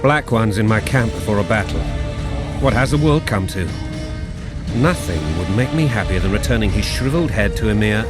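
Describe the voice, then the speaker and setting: A man's voice: on the low side, animated, moderate pace, loud music behind the voice, lightly acted. An actor playing a blunt, hot-tempered, battle-hardened king in a video game, commanding and sardonic. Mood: gruff, candid. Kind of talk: video game cutscene acting.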